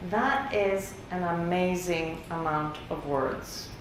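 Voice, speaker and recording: Woman lecturer, native Greek speaker, chatty and relaxed delivery, slightly low voice, very reverberant room with faint hiss. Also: slow pace. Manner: conversational, many fillers, laughs lightly. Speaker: workshop lecturer. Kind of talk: lecture presenting survey results. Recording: very echoey room with faint noise.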